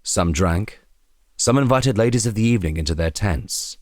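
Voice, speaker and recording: Narrador de voz grave, gravação seca. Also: male voice, on the low side, lively intonation, moderate pace, darkish tone, dry recording, clean studio sound. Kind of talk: dramatic scripted story narration.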